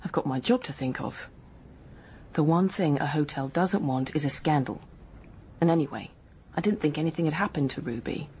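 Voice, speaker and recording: An actress playing a young, guarded, matter-of-fact English dance hostess in a radio drama, speaking in a brisk, frank, colloquial way. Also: female voice, deep voice, animated, dark tone, a little hiss or hum. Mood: defensive, frank. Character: hard-headed working-class hotel dance hostess, guarded, matter-of-fact.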